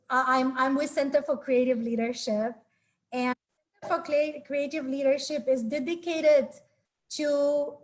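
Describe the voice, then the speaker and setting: A woman in her forties from Ukraine, giving a friendly, earnest webinar talk over a video call, with many fillers and restarts. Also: high voice. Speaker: webinar speaker. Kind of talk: presenting a live webinar talk. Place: small home room. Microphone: laptop webcam on a video call.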